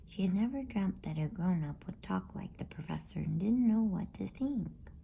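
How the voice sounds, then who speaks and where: A woman reads a children's novel aloud as a soft, breathy, often whispered ASMR bedtime narration. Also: medium pitch, animated. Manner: gentle, hushed, unhurried.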